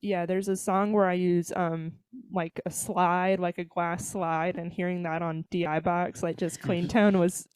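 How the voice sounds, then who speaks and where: Female band guest, early twenties, lower-than-average pitch, casual and jokey with slang, dry recording. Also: little pitch movement, measured pace, few pauses, a little mellow, clean tone, no echo. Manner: slangy, joking. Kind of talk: casual chat.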